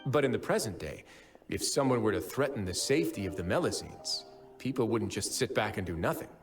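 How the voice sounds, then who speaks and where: A man voicing a composed, shrewd, gentlemanly fortress overseer in a video game, articulate and deliberate. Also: fairly low pitch, lively intonation, faint music behind, natural voice. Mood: earnest, assured.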